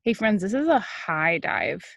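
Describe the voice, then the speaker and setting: A woman teacher doing an animated, expressive read-aloud for young children, with casual asides. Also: mid-range voice, a little slow, creaky, dry recording, dull audio. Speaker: kindergarten teacher.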